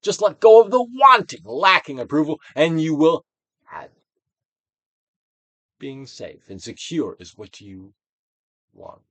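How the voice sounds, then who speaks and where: A man reading a self-help book aloud as a dramatic, guided-meditation narration, with deliberate actorly emphasis, swelling and dropping to a hush. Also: a little high, big pitch swings, no echo. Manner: theatrical, deliberate actorly delivery with emphatic swells and hushed drops.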